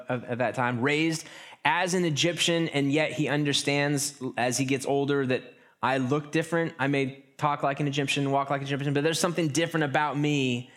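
A man preaching a sermon in a relaxed, conversational way, often restarting phrases and slipping into quick acted asides. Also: mid-range voice. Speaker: pastor.

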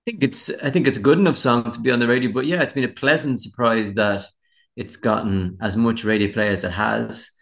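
A man's voice: mid-range voice, fairly quick, creaky, a bit bright. An Irish man, a musician being interviewed, chatting casually in a candid, rambling, self-deprecating way. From Dublin, Ireland. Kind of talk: casual chat.

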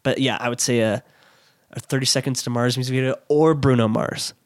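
A man in his late twenties talking as an interview guest, thoughtful and halting, often restarting. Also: mid-range voice, fairly even intonation, dry recording, clean studio sound. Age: late 20s. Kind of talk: casual interview chat.

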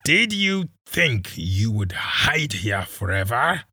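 A man voicing a cruel, mocking middle-aged male police squad leader, with a loud, slow, drawn-out delivery. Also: a little high, very animated, vocal fry, dry recording, clean studio sound, voice pushed up, full character voice. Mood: menacing.

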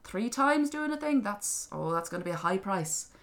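A woman's voice: mid-range voice, sing-song, moderate pace, a bit bright. A woman voicing a sly little man-like creature with an Irish accent, wheedling and patronising as in a fairy tale. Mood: grumbling, bargaining. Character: small sly man-shaped creature, gleeful bargainer.

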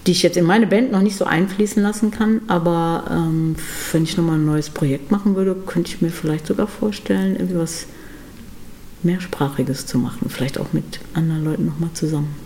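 A middle-aged woman musician being interviewed, talking thoughtfully with many pauses and fillers. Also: low-pitched, dark tone, slight background noise. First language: German. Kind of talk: casual interview conversation.

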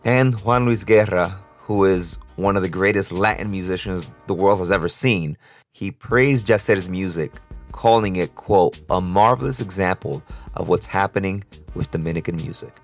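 A man's voice: deep voice, normal speed, faint music behind. A man from Brooklyn hosting a casual podcast interview, upbeat and chatty, laughing often. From Brooklyn, New York. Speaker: podcast host.

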